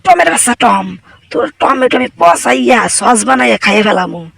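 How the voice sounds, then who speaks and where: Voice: medium pitch, expressive, normal speed, gravelly, a bit bright. A performer voicing a boastful, scheming, lovesick cartoon cat in an exclamatory comic dub, with a Barisal accent from Bangladesh. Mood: indignant.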